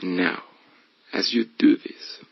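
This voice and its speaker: A man leading a guided meditation in a hushed, breathy voice that often slips toward a whisper. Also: slow, dry recording, lo-fi sound. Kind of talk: guided meditation, soothing instruction.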